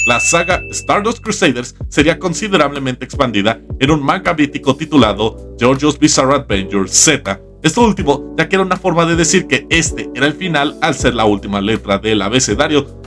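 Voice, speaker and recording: Man narrating over prominent background music. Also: medium pitch. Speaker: YouTube host. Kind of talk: scripted video essay narration.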